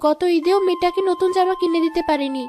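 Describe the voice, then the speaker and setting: A woman's voice: very high voice, quick pace, a little mellow, soft background music. A woman voicing a poor, anxious housemaid and mother in a cartoon drama, plaintive and pleading.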